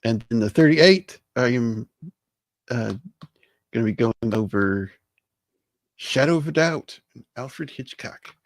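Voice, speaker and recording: A man's voice: mid-range voice, lively intonation, gravelly, dry recording. A man in his late thirties hosting a livestream, talking easygoing and laid back. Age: 30s. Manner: slow, easygoing, signs off with y'all. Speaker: host. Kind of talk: casual livestream chat.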